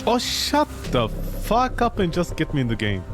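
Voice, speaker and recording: A man's voice: a little high, wildly swooping pitch, moderate pace, music in the background. A man doing live game commentary, talking to himself in a sarcastic, exclamatory way. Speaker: gaming streamer.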